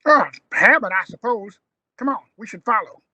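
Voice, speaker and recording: A woman's voice: fairly high pitch, expressive, normal speed, bright, forceful tone, dry recording, muffled recording, heavily acted. A woman playing an eager, informal young male traveler in an audio drama. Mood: resigned.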